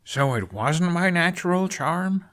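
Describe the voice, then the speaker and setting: A man's voice: sits high, expressive, unhurried, a bit bright, voice pushed up. A man voicing an idealistic, stubborn young prince in an audiobook. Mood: wry.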